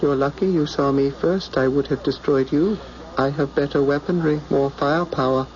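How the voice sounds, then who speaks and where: A male radio actor playing a wounded, power-hungry alien pilot in a halting, intense, theatrical way. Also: on the high side, fairly even intonation, a little mellow, lightly acted. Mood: haughty, pained. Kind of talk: radio drama acting. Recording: dull, noisy old radio broadcast recording.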